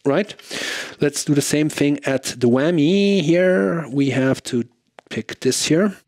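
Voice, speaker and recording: A man's voice: fairly high pitch, lively intonation, slow pace, music in the background. A man giving a casual product demo commentary as the presenter, thinking aloud informally and often checking for agreement. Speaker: presenter of a guitar pedal comparison.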